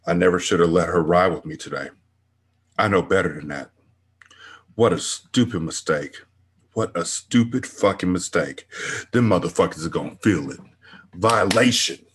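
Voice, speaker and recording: A grim street boss and father, voiced by a man, talking low and flat. The voice is deep and husky, clipped mutters. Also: moderate pace, pauses often. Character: guilt-ridden gang boss father, grim.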